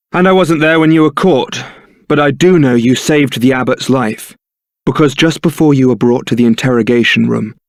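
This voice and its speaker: An actor with a British accent playing a suave, roguish young templar knight in a video game, polite and teasing. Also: male voice, mid-range voice, creaky, no echo, studio quality, close to an everyday voice. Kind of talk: scripted video game character acting.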